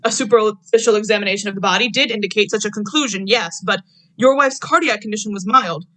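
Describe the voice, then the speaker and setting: A young woman playing a measured, clinical doctor who probes and accuses in a vintage radio drama. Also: medium pitch, bright, forceful tone, natural voice, low-quality recording. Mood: firm, suspicious. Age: young adult. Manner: deliberate, clinical.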